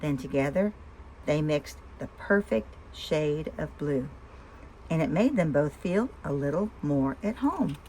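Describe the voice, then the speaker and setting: Lively low-pitched woman reading a picture book. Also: a little slow, slight background noise, rough recording. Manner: asks listeners simple questions between story lines. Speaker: storyteller and host of a read-aloud show. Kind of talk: expressive picture-book read-aloud for young children.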